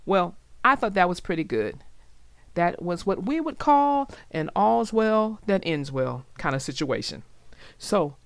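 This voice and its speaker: A woman's voice: fairly low pitch, very animated, unhurried, gravelly, no room sound. A woman reading aloud for children as a storyteller, chatting directly to her young listeners in a conversational way.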